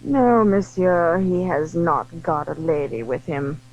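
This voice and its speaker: An actress playing a coy, gently amused French inn hostess in an audio drama, measured and wry. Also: female voice, on the low side, lively intonation. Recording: dull lo-fi 1980s audio drama.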